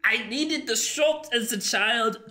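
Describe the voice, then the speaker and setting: A woman's voice: on the high side, slow pace, bright, forceful tone, roomy sound, faint music behind. A woman playing a melodramatic, faltering dying noble in an improvised comedy radio play.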